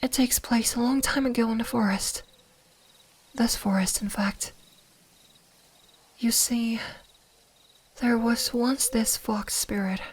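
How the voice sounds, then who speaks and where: A woman voicing a gentle, lonely young kitsune fox spirit in an ASMR roleplay, half-whispering softly and wistfully like a bedtime storyteller. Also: fairly high pitch, steady tone, takes it slowly, pauses often, low-quality recording. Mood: soft, nostalgic. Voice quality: soft, breathy, half-whispered.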